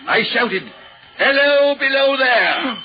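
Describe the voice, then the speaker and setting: High-pitched distraught train engineer. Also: male voice, slow pace, heavily acted. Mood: anguished. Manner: anguished, pleading, earnest. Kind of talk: 1940s radio drama acting. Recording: dull noisy 1940s radio recording.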